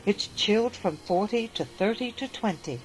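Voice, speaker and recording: A woman narrating a rhyming storybook aloud with a lilting, expressive delivery, with faint music behind. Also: mid-range voice, moderate pace, rough recording. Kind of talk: storybook reading in rhyme.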